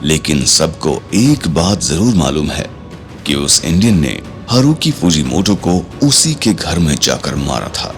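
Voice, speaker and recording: Very deep, dramatic male narrator. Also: big pitch swings, measured pace. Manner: suspenseful, dramatic. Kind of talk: dramatic scripted story narration. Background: background music.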